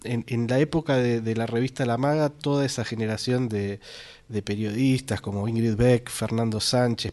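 A man's voice: fairly low pitch, darkish tone. A man, a journalist guest on a radio show, reminiscing in a hesitant way with many fillers and restarts. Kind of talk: casual radio interview chat.

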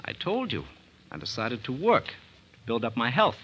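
An actor in a 1940s radio drama playing an easygoing but guarded farmhand, casual and evasive. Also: male voice, medium pitch, huge pitch swings, moderate pace, pushed and bright, slightly rough, heavily acted. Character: easygoing hired hand, secretly an escaped prisoner, guarded. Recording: dull 1940s radio broadcast recording.

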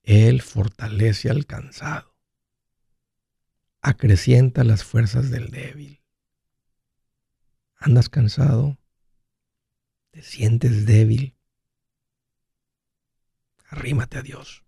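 A man's voice: mid-range voice, very slow, gravelly, long pauses, warm tone, husky, no echo, studio quality. A man hosting a call-in advice show, talking in a folksy, conversational way. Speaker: host of a personal finance call-in show.

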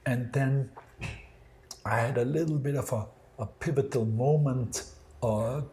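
A man with an Austrian accent and German as his native language answers interview questions as a designer, in a thoughtful, halting, anecdotal way. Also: medium pitch, mellow.

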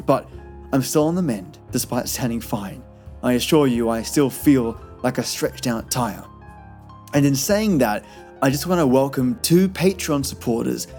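A man's voice: medium pitch, dark tone. A man hosting a podcast, giving a chatty, playful and wry scripted introduction. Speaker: podcast host. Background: faint music.

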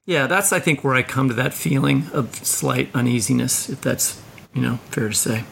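Man, gravelly fry, forceful bright tone, casual emphatic podcast host. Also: mid-range voice, steady tone, moderate pace.

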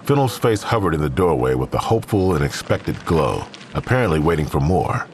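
A man's voice: a bass voice, big pitch swings, brisk, a little hiss or hum. A man narrating a scripted crime audio drama in the third person.

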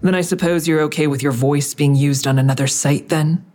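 A woman's voice: low voice, fairly even intonation, a little fast, darkish tone, close to an everyday voice. A woman playing a grim, confrontational investigator in an audio drama, questioning in a terse, dry, needling way. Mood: challenging. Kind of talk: audio drama acting with narration.

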